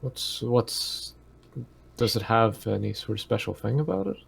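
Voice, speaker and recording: A man's voice: on the low side, big pitch swings, measured pace, gravelly, slightly rough. A man chatting casually as a player in a tabletop roleplaying game. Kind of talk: casual group game chat.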